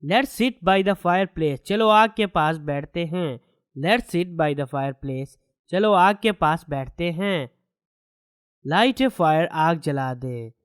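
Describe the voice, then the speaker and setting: A man teaching English, reading each short sentence slowly twice with its translation. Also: on the high side, lively intonation, clean tone, no echo, clean studio sound. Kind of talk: slow sentence drills with translations.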